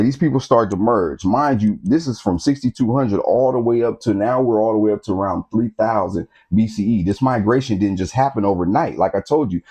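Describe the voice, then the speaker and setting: Host type, male, low mellow voice, preachy street-talk lecturer who keeps checking the audience follows. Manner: street-talking preacher, repeats phrases, keeps asking "you understand what I'm saying". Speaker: host of a history talk channel. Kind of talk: casual lecture to camera.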